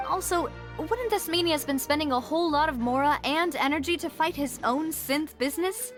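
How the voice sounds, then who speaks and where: Poised, high-voiced young lady investigator. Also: animated. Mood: doubtful, musing. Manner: measured, deliberate, musing. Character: poised, thoughtful young woman investigating her father's case. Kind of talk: video game dialogue. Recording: game music behind the voice.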